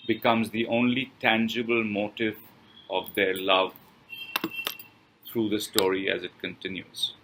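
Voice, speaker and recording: A man reading a scripted film review as presenter, with measured, halting, deliberate phrasing. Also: fairly low pitch, pushed and bright, rough recording. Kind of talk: scripted read-aloud review with pauses.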